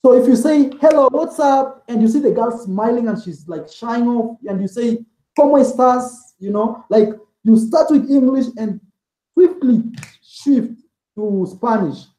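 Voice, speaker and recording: A man's voice: very high-pitched, unhurried, mellow, echoey room, rough recording. A man being interviewed as a guest, talking in a loose, rambling way and leaning on "like" and "man" as fillers. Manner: rambling, heavy use of "like" and "man". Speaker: interview guest, travel vlogger. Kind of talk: casual interview chat.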